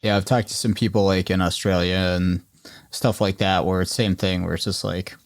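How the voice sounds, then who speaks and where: A man's voice: low-pitched, level tone, moderate pace, dry recording, studio quality. A man hosting a podcast, interviewing a guest in an easygoing way with lots of "um" and "uh". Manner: easygoing, many "um" and "uh", short replies. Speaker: podcast host. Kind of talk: casual interview.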